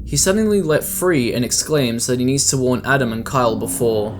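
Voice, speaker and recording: A man narrates a scripted video essay in an explanatory way. His voice sits at an ordinary male pitch. There is clearly audible ambient sound behind him as he speaks. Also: lively intonation, fairly quick, slight background noise.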